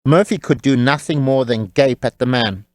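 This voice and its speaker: A man's voice: medium pitch, moderate pace, no room sound, studio quality. A man narrating a scripted comic tale as a wry, tongue-in-cheek storyteller.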